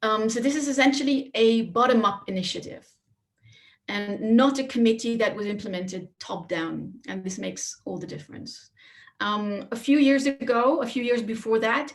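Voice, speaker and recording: A woman in her thirties from Austria, speaking softly and carefully on a panel with many fillers. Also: on the high side, normal speed. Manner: soft-spoken, careful, with fillers. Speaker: panelist, academic. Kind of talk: panel talk.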